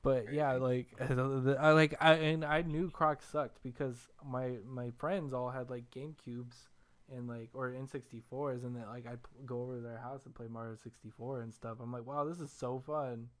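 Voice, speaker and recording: A young man hosting a casual podcast chat about video games, telling stories in an animated, self-deprecating way. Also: mid-range voice, normal speed. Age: young adult. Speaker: podcast host.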